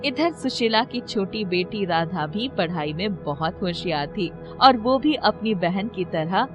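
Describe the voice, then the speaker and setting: A woman's voice: medium pitch, expressive, a little slow, lo-fi sound, music in the background. A woman storyteller reading a scripted children's story in narration style.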